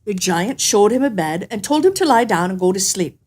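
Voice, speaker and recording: A woman reading a fairy tale aloud as an expressive, scripted narration. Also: mid-range voice, normal speed. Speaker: storyteller.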